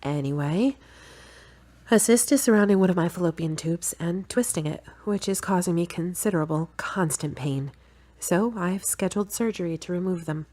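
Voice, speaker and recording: A woman playing a brisk, low-pitched, frank girlfriend. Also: mellow, close to an everyday voice. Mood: matter-of-fact. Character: brisk, frank girlfriend, slightly bossy, stubborn. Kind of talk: acted audio drama dialogue.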